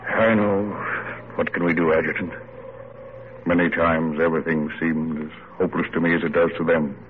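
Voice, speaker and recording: Casting: male actor as commanding general, very low voice, grave and dignified, radio-play style, noisy vintage broadcast. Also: level tone, narrow, tinny audio, natural voice. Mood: troubled. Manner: grave, measured, stately. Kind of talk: old-time radio drama acting.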